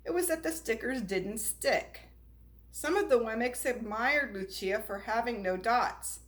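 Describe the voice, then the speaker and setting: A woman reading a children's storybook aloud in an expressive, unhurried way. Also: a little high. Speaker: storybook reader.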